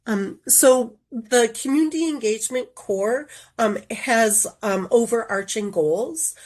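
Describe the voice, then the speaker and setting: A woman's voice: a little high, takes it slowly, a little mellow. A woman with a head cold and a nasal voice gives a careful, explanatory online presentation as a community engagement coordinator.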